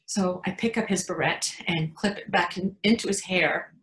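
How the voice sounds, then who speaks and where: A woman's voice: on the low side, moderate pace, fairly bright tone, echoey room, lightly acted, rough recording. A woman playing a tender, flustered mother of a young son in a staged monologue reading. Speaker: actor in a readers theatre.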